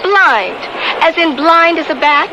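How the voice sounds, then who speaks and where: A sixteen-year-old girl, wry and questioning, with a high and lively voice. It is radio drama acting on a noisy old recording. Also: full character voice. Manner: dry, questioning, quick retorts.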